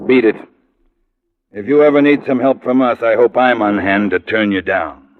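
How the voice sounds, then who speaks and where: A man acting as a gruff, suspicious police lieutenant with a curt, needling delivery in a 1950s radio drama. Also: on the low side, moderate pace, natural voice. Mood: scornful. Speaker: actor in a radio detective series. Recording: muffled, noisy vintage radio recording.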